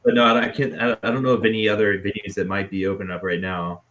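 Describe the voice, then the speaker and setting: Low-voiced man from Dallas, halting and casual. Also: flat delivery, fairly bright tone. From Dallas, Texas. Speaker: interview guest, punk band musician. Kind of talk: casual chat. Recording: clearly noisy background.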